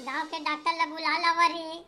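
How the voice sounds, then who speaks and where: A woman voicing a scolding, bustling village wife and mother in a comedy cartoon, briskly nagging and repeating commands with a Chhattisgarhi accent. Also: extremely high pitch, flat delivery, sharp tone, faint music behind, exaggerated voice. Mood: bossy, urgent. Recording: noisy track with clicks.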